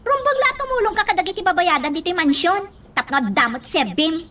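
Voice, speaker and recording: A woman's voice: very high-pitched, expressive, brisk, sharp tone, exaggerated voice. A woman acting a bossy, impatient elder in an Ilocano radio drama, insistent and exasperated. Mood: demanding. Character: bossy, impatient older sister figure, moody and pushy.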